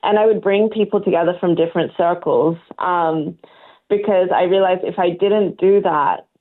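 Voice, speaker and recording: A woman's voice: on the low side, normal speed. A young woman calling into a podcast, talking in an easygoing, friendly way and laughing often. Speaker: guest on a phone call. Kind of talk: casual chat. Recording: tinny phone line.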